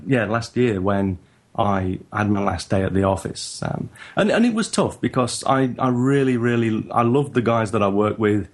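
A man with a northern English accent, talking in a halting, reflective way as a guest in a casual interview. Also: medium pitch. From northern England. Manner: halting, reflective, frequent restarts. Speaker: guest photographer.

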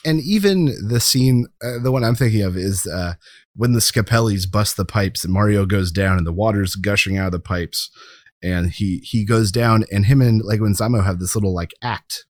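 A man's voice: on the low side, normal speed, dry recording. A man co-hosting a podcast, making his case about movies in casual, emphatic, opinionated talk. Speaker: podcast co-host. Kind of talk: casual film commentary, arguing a case.

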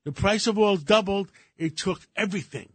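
Greek-born older man, halting talk. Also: sits high, breathy edge, dry recording. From Greece. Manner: halting, many fillers and restarts. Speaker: radio show co-host. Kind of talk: casual radio talk.

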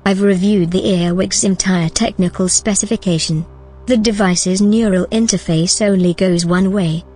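A woman playing a shrewd, self-assured artificial intelligence in a sci-fi audio drama, with a husky voice and a cool, dry, matter-of-fact delivery. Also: medium pitch, fairly even intonation, moderate pace, mellow, soft background music, close to an everyday voice. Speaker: supporting actor in an audio drama.

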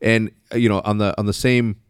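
A man's voice: on the low side, little pitch movement, a little fast, smooth, no room sound, clean studio sound. A man in his forties hosting a casual podcast chat, rambling and swearing freely as he tells stories. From moved to the United States at thirteen. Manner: loose, profane, rambling storyteller. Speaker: podcast host.

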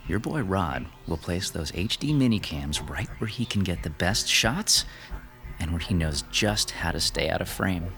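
Low, animated scheming lover. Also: male voice, moderate pace, vocal fry, soft background music, heavily acted. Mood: conspiratorial. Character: scheming murderous lover, cool, persuasive, crude. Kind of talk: audio horror drama acting.

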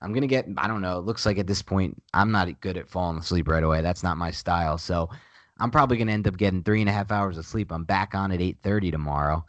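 A man with a nasal voice pitched very low co-hosts a casual sports podcast. He presses his points hard and repeats them for emphasis. His voice is recorded close and dry on a podcast mic. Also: fast, a bit bright, no echo, dull audio. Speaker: podcast co-host.

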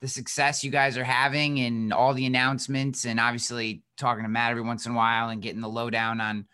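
A man's voice: medium pitch, little pitch movement, fast talker, sharp tone, clear, resonant tone. A middle-aged man hosting a podcast, talking casually and energetically with many fillers and restarts. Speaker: podcast host. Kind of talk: casual podcast chat and sponsor reads.

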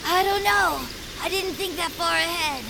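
High, creaky young winged creature. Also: female voice, moderate pace, noisy background. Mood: alarmed. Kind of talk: audio fiction acting.

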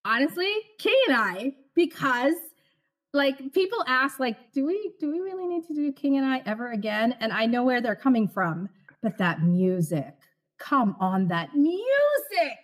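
A middle-aged woman born in the Philippines, a stage actor talking as a guest in an interview, expressive and animated, laughing often. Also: high voice, unhurried. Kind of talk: conversational interview.

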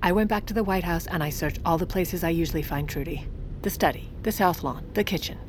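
A woman's voice: lowish voice, brisk, noisy background, natural voice. An actress playing a domineering, beleaguered First Lady in an audio drama, blunt, urgent and biting. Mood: wry narration. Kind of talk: audio drama acting and narration. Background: music.

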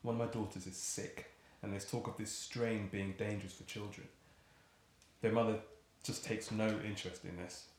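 A man's voice: fairly low pitch, steady tone, normal speed, natural voice. An actor playing a weary, troubled father in an audio play, grumbling and philosophising in pointed questions. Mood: troubled complaint. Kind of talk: audio play acting, musing dialogue. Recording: very echoey room, faint noise.

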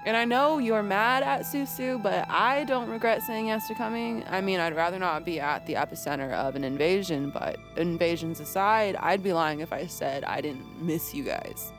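Woman acting a low-voiced, flippant young woman. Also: normal speed, hardly any pauses, over music, lightly acted. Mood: candid. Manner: casual, wry. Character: flippant, slangy young woman, chill but affectionate. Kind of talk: audio drama acting.

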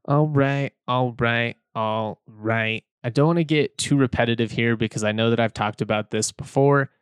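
A man hosting a sports podcast in a relaxed, conversational and opinionated way, his voice slightly hoarse. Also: mid-range voice, normal speed, dry recording, studio quality. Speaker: podcast host. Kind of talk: casual sports podcast talk. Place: indoors, late at night.

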